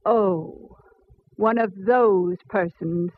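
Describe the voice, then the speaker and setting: An actress playing a haughty, suspicious middle-aged wife of a wealthy house, stiff and imperious in 1940s radio style. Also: female voice, mid-range voice, drawn-out words. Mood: haughty, disapproving. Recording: muffled, noisy old radio recording.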